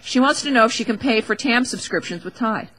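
Woman, radio talk host, bright forceful tone, slightly high pitch, opinionated, wry, conversational, emphatic. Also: lively intonation, a little fast, low-quality recording. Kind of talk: opinionated casual radio monologue.

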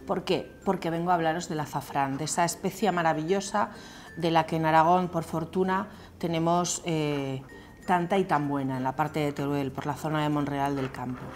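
A woman's voice: deep voice, steady tone, normal speed, darkish tone. A middle-aged woman with a Peninsular Spanish accent, presenting an informal cooking tutorial as a chef and often checking in with viewers conversationally. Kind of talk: informal explanatory cooking talk to camera. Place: indoor kitchen set.

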